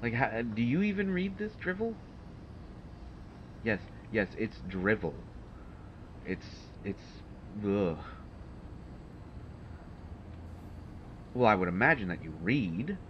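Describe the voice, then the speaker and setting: Through a dull recording with loud background noise comes a man acting as a haughty supervillain in an audio roleplay. He speaks haltingly and with dry sarcasm, in a low voice, exasperated by the hero he grudgingly helps. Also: big pitch swings. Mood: exasperated disdain. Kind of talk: roleplay audio drama, one side of a dialogue.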